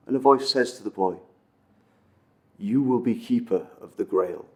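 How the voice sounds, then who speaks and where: A man acting a dramatic monologue as an earnest, wistful storyteller recounting an old legend, with intense pauses and swells. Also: mid-range voice, darkish tone, lightly acted. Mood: earnest, wondering. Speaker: amateur actor performing a film monologue.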